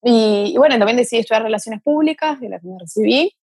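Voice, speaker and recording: A woman's voice: fairly high pitch, expressive, quick pace, a little mellow, breathy edge, dry recording. Chatty woman, dry sound. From Brazil. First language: Portuguese. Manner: chatty, thinks aloud with fillers. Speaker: guest, mentor to mothers. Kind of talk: casual interview chat.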